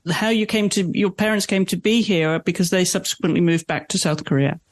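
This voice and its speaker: A man hosting a podcast, asking questions in a calm, measured way. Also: sits high. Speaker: podcast host. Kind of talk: interview questions.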